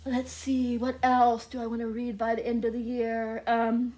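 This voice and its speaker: A woman with a fairly high voice talks in a casual, chatty vlog style. She gushes as she goes, doubling up words for emphasis and speaking straight to her viewers. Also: completely flat delivery, moderate pace, a little mellow, rough recording. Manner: gushing, repeats words for emphasis. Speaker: book vlogger.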